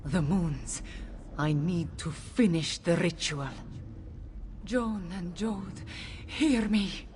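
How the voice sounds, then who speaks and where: An actress playing a weary, earnest queen in a fantasy video game, speaking in a solemn, measured way. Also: fairly low pitch, noisy background. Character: weary, earnest young queen and ritual mage.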